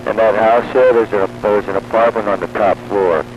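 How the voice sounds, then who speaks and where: A man's voice: lowish voice, fairly even intonation, a little fast. A man in casual hobbyist chat, heard over a two-way radio transmission. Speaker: radio hobbyist on a CB round-table. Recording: muffled CB radio with clear noise.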